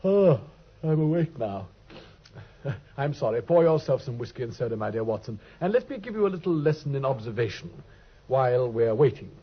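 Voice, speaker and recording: A man's voice: mid-range voice, animated, normal speed. An actor playing a brilliant, aloof male detective in a vintage radio drama, drawling and teasing as he lectures. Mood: wry. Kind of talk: 1950s radio drama acting. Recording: dull, husky old radio recording.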